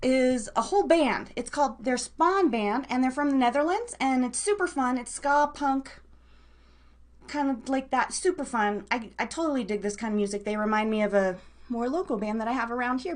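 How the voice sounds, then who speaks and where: An American woman hosting a casual live show in a bubbly, chatty way with playful wording. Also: high-pitched. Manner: bubbly, playful word coinages. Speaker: radio show host.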